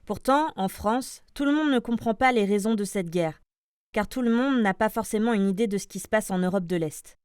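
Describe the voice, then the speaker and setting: A woman's voice: medium pitch, moderate pace, darkish tone, smooth, dry recording, studio quality. A woman reading scripted podcast news as presenter, addressing listeners like an announcer. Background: light music.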